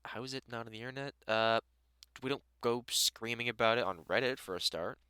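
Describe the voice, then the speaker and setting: A man's voice: medium pitch, a little fast, pushed and bright, clean tone, dry recording, lightly acted. A British man playing a dry, sarcastic vampire hunter in a conversational audio roleplay, chatting informally to the listener. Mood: wry, explanatory. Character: gruff, sardonic but welcoming male vampire hunter.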